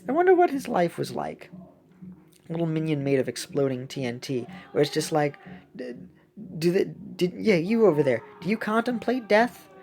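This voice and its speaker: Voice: medium pitch, sing-song, moderate pace, darkish tone. A young adult giving casual, playful gameplay commentary in a rambling, chatty way. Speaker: gaming video host. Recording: clearly audible background noise.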